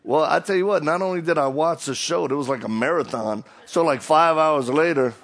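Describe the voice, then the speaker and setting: Middle-aged cajun guy with a gravelly voice, all hyped up, cracking jokes at himself for a crowd. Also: on the high side, brisk, a bit bright. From Louisiana. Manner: hyped up, joking, self-deprecating storyteller. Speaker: preacher and motivational speaker. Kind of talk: humorous stage talk to a live audience.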